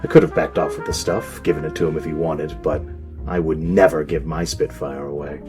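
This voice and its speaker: An actor playing a gruff, world-weary New York speakeasy barkeep in a 1920s gangster radio drama, tough-talking in period slang. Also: male voice, rumbling low, little pitch movement, a little fast, over music. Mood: guarded, bitter.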